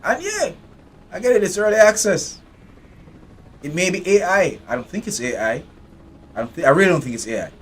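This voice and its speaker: A man's voice: high-pitched, sing-song, fairly quick, pauses often, slightly rough. A man from Jamaica, giving blunt, profane live commentary while streaming a game.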